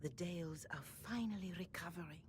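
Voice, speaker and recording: A woman playing a gracious, low-voiced holy mother. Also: background noise, natural voice. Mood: solemn, grateful. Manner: formal, gentle, measured courtesy. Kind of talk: video game cutscene acting.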